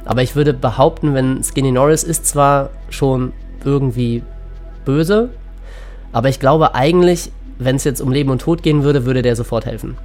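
A man's voice: mid-range voice, normal speed, darkish tone, soft background music. A man being interviewed, thinking aloud casually about his subject. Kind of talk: casual reflective interview talk.

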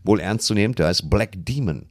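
A man's voice: lowish voice, lively intonation, brisk, no room sound, studio quality. A man bantering casually about films as a podcast co-host, colloquial and emphatic, in a living room.

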